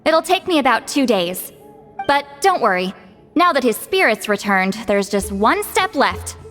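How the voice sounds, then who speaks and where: Elderly village spirit-medium, female: wry, unhurried, slightly high, game dialogue over soft music. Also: sing-song. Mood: calm, assured. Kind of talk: video game voice acting.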